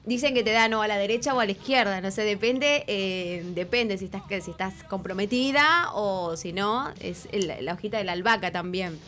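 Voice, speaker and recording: A woman with an Argentine accent hosting a morning radio show, chatting in a lively, welcoming way with quick interjections. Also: medium pitch, faint music behind. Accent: Argentine Spanish. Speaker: radio host.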